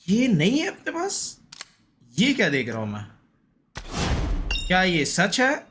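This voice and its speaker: A man's voice: high voice, bright, forceful tone, slight background noise. A man giving casual, slangy live gaming commentary, often repeating short pleading phrases. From India. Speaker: gaming streamer.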